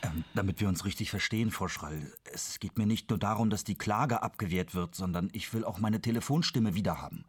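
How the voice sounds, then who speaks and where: A man's voice: on the low side, brisk, hardly any pauses, husky, no echo, clean studio sound. An actor playing a hapless, grumbling man in a German radio drama, hesitant and dryly sarcastic. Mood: hesitant, determined. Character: hapless, grumbling male client on an absurd quest.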